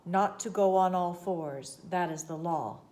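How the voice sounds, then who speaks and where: A woman voicing a hunched male beast-man who keeps the law, with a thick voice and a slow sing-song intoning manner. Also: lowish voice, dark tone, close to an everyday voice. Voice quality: thick voice with a whistling overtone.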